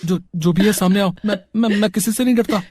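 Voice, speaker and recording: Cartoon casting: hungry, jumpy young male villager, humble and expressive, mid-pitched voice, acted by a performer. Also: quick pace, slightly rough, no room sound, studio quality, lightly acted. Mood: puzzled, scared. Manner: stammering when flustered. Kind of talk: animated moral story dialogue.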